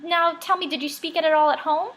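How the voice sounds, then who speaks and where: A woman's voice: very high-pitched, fairly even intonation, fast talker, fairly bright tone. A woman interviewing casually, in a chatty, gushing and encouraging way.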